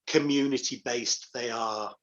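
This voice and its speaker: A man's voice: mid-range voice, steady tone, measured pace, a bit bright, muffled recording. An English man chatting casually and telling anecdotes as an interview guest, as heard over an online call. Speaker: pantomime dame performer, interview guest. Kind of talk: casual chat.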